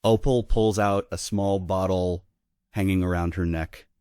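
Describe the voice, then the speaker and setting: Low-voiced man narrating stage directions. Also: fairly even intonation, measured pace, darkish tone, clear, resonant tone, no echo, studio quality. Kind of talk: scripted narration at a play table read.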